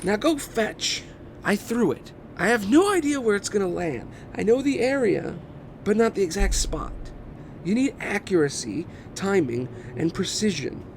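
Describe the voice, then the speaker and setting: Casting: man performing exasperated male engineer, sarcastic and condescending, pitched high with lively swings, amateur table read. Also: measured pace, exaggerated voice. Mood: irritated, condescending. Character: Gordon, irritable, impatient, sarcastic male engineer. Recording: noticeable background noise.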